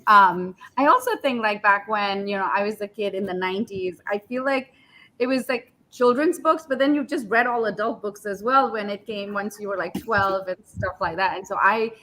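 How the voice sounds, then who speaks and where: 30s woman from india, mid pitch, excited and thoughtful, lots of like and um. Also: normal speed, rough recording. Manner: enthusiastic, thoughtful, with fillers like "like" and "um". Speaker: author on a book panel. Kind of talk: casual panel talk.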